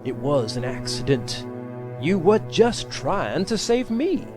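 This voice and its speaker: A scheming, controlling husband in an audio drama. He speaks slowly and insistently, with wide swings of pitch, over music. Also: very animated. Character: TJ, defensive scheming husband covering up a death.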